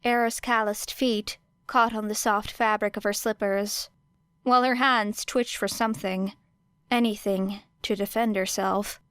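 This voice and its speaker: A woman reading fan fiction aloud as an audiobook, in a measured storytelling manner. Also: medium pitch, fairly even intonation, fairly bright tone, clean tone, no room sound. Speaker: podfic narrator.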